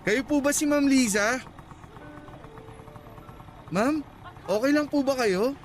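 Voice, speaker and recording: A man's voice: very high voice, takes it slowly, soft background music. A man playing a courteous, reassuring young motorcycle taxi rider in a radio drama. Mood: polite, attentive. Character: polite, careful, friendly young male motorcycle taxi rider.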